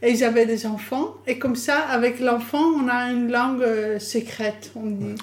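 A woman's voice: on the high side, fairly even intonation, moderate pace. A woman in a casual interview, talking in a hesitant, thoughtful way in a reverberant room.

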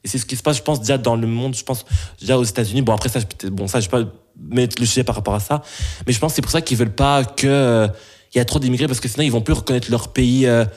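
A man's voice: medium pitch, fast, darkish tone. A young man from Belgium answering interview questions, hesitant, with many restarts and fillers.

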